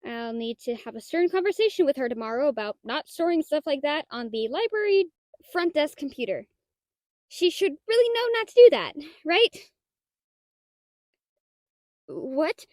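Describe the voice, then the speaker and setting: A woman's voice: high voice, big pitch swings, normal speed, long pauses, a little mellow, no room sound, clean studio sound. A woman playing a shy, nerdy half-dragon librarian in a roleplay audio drama, talking in a hesitant, flustered, stammering way. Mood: sheepish, evasive. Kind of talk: roleplay audio drama, one-sided dialogue.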